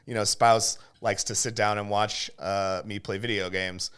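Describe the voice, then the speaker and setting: A man's voice: fairly low pitch, steady tone, unhurried, fairly bright tone, clear, resonant tone. A man chatting casually as a podcast host, giving his opinions at length in an emphatic, explanatory way and checking in with little tag questions. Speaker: podcast host and game reviewer. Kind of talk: casual opinionated review chat.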